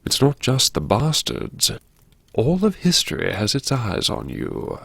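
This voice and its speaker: A man playing a wry, self-mocking university vice-chancellor narrating his memoirs in a gravelly, husky voice, savouring his words with arch, dramatic pauses. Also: mid-range voice, wildly swooping pitch, a bit bright, no echo, heavily acted. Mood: grandly self-mocking. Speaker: narrator of a serialised audio story. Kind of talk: theatrical first-person audiobook narration.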